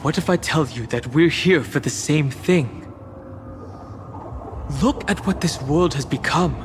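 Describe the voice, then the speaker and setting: A man as an earnest, unhurried young hero. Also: a little high, close to an everyday voice. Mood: earnest appeal. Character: earnest, steadfast male warrior pleading with old comrade. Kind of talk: dramatic video game acting. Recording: music behind the voice.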